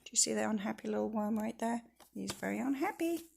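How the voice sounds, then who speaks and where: A woman's voice: a little high, animated, fast, vocal fry, darkish tone, a little hiss or hum. A woman reading a children's story aloud expressively and emphatically, with asides to her listeners. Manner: emphatic, engaging listeners with questions.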